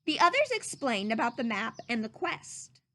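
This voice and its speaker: A woman reading a story aloud to children like a teacher, with expressive, dramatic emphasis. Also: fairly high pitch, normal speed.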